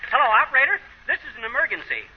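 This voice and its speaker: High-pitched, blustering American radio comedy husband. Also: male voice, wildly swooping pitch, very fast, full character voice. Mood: urgent, agitated. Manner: folksy slangy stammering bluster. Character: blustering, panicky husband who brags and backpedals. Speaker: comedy show star. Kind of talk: 1930s radio comedy acting. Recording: muffled, noisy 1930s radio recording.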